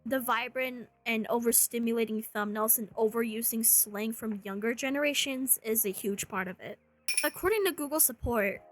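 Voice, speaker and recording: High-voiced sarcastic woman ranting. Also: fairly bright tone. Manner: sarcastic, joking. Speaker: commentary YouTuber. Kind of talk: scripted rant commentary with mocking quotes.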